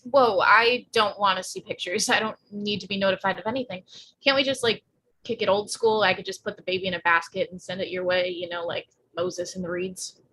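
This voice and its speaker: A woman reading the part of a blunt, flippant pregnant teenage girl in a screenplay table read, with slangy deadpan delivery. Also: mid-range voice, fairly quick, slightly rough, rough recording. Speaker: actor in a live table read.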